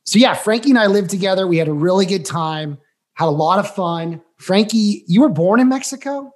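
A man's voice: sits high, lively intonation, a little fast. A man in his thirties co-hosting a casual interview, talking with nostalgic enthusiasm. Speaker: podcast co-host.

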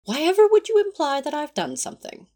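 A woman's voice: high-pitched, wildly swooping pitch, fast, warm tone. A woman playing a prim, fussy male angel, genteel and mildly put-upon, in a fan audio drama. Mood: innocent, genteel.

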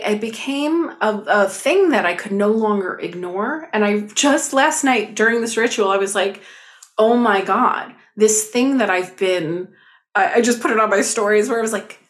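A woman talking as a podcast guest, telling stories in an animated, expressive way with lots of fillers. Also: fairly high pitch. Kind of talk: casual conversation.

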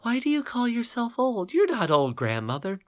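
An earnest, affectionate young princess girl from a storybook, pleading in a voice lifted well above the reader's own, as a man reads her. Her questions come out eager and soft. The recording sounds dull and lo-fi. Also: very high voice, huge pitch swings, normal speed, no room sound, pitched above the performer's own voice, full character voice.

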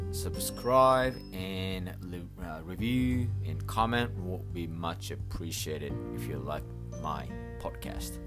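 A man hosts a language-lesson podcast, his voice sitting low and his pace unhurried. He says each word several times for learners and checks in with a frequent "okay?", while faint music plays underneath. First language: Japanese. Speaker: podcast host teaching Japanese.

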